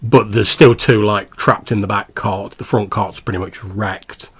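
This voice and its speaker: Husky low-voiced male game master. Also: steady tone, a little slow. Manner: informal, explanatory. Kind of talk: casual tabletop game narration.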